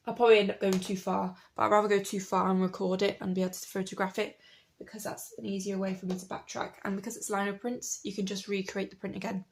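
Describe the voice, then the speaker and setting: A woman in her late twenties, croaky from a cold, chatting in a rambling, self-correcting way as she talks through her printmaking. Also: medium pitch. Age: late 20s. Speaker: artist and printmaker. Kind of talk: casual chat over studio work.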